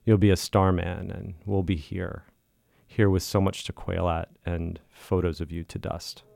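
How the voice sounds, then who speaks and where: A man reading his own personal literary essay aloud in a measured, deliberate way. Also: low voice, flat delivery, creaky, darkish tone. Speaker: listener contributor reading own writing.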